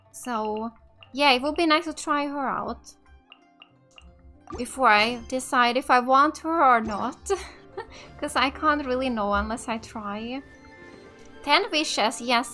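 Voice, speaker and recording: High, bright-voiced woman streaming a game. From Finland. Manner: chatty, giggly. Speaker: gaming streamer. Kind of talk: casual let's play commentary. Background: game music.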